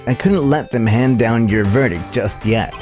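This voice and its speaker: A male streamer reading visual novel dialogue aloud in character, halting and rereading lines as he goes. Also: mid-range voice, darkish tone, faint music behind.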